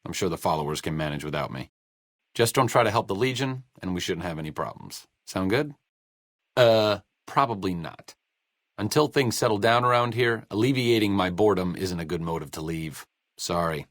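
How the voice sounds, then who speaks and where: A man's voice: deep voice, animated, moderate pace, fairly bright tone, no echo. A man playing a sardonic, bookish, self-deprecating idealist doctor in a video game, with dry, sarcastic, wordy delivery.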